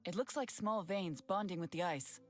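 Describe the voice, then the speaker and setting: Woman voicing a driven, dreamy older male astronomer, excited and urgent. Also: fairly low pitch, moderate pace, soft background music, natural voice. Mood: awed.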